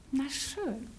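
An actress playing a saucy, shrewd young maid in a radio comedy, teasing and pert. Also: female voice, a little high, a little mellow, heavily acted. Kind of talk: stage comedy as radio play.

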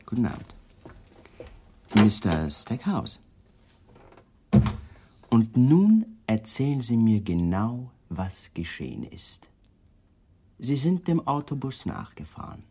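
A man's voice: medium pitch, big pitch swings, takes it slowly, pauses often, warm tone, breathy edge, full character voice. A man acting in a 1980s German radio crime play as a persistent police detective, coolly polite and suspicious, putting pointed questions. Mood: probing, polite. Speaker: actor in a radio crime drama.

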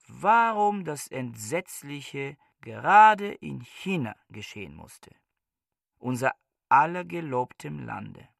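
An actor in a German radio play playing a fanatical, bookish scholar who speaks in solemn, pompous oratory. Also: male voice, on the high side, creaky, no room sound, studio quality, exaggerated voice. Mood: grim, anguished. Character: fanatical bookish male scholar haranguing his books like troops.

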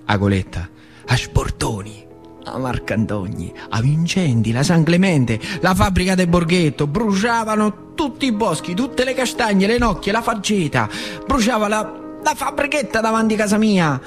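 A man acts out an old worker from rural central Italy, telling his life as radio theatre. His accent is earthy northern Lazio and his speech colloquial and vivid. The voice runs high and swings widely in pitch, with music underneath. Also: expressive, normal speed. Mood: earnest, reminiscing. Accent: central Italian, rural northern Lazio. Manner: colloquial, vivid, swinging between hushed and cried-out delivery. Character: old male factory worker recalling his wartime boyhood. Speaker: stage actor performing a monologue. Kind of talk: theatrical storytelling monologue for radio.

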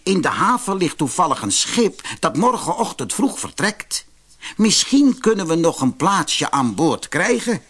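A man reading as a sanctimonious, greedy cloth dyer, husky. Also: a little high, sing-song, measured pace, a bit bright, pitched above the performer's own voice, exaggerated voice. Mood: feigned reluctance. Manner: sanctimonious, feigned reluctance. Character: lazy, greedy, hypocritical male cloth dyer, a cheat. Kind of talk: storybook character voice.

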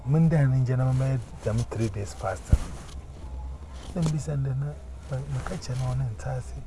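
A man with a Ghanaian accent, preaching and giving testimony, emphatic and often repeating words in chanting runs. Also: medium pitch. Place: outdoors on a busy street. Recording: noisy street recording.

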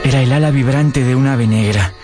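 A man's voice: medium pitch, a little fast, music in the background, natural voice. An actor playing a tender, melancholy young man in love, narrating in a radio drama.

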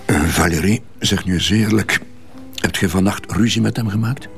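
A man's voice: lowish voice, moderate pace, gravelly, soft background music. A man with a Flemish accent acting a controlled, probing businessman in a radio drama. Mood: troubled, probing.

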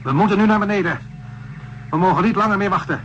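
An actor playing an impatient spaceship pilot in a radio drama, giving curt, urgent orders over a radio link. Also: male voice, high-pitched, quick pace, fairly bright tone. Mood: urgent, forceful. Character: commanding, impatient male spaceship pilot under pressure. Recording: narrow, noisy radio-transmission sound.

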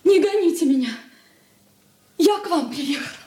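An actress in a Soviet radio drama playing a distraught young runaway wife, pleading and tearful. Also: female voice, extremely high pitch, expressive, moderate pace, mellow. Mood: anguished pleading.

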